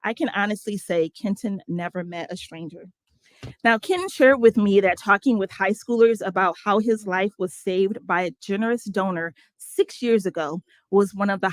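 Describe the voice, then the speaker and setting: A woman presenting an award at a gala, reading a measured, formal tribute to a volunteer. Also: medium pitch, no echo. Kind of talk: prepared award presentation speech.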